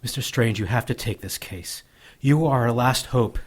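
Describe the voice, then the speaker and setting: An actor playing a worried, pleading father in a radio drama, earnest and halting. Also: mid-range voice, breathy edge, lightly acted. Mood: desperate, earnest.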